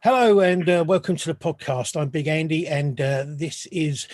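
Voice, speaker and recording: A man's voice: on the high side, normal speed, darkish tone, no echo, clean studio sound. A man hosting a music podcast, chatting casually, with many restarts and apologetic asides. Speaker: podcast host. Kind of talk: casual interview chat.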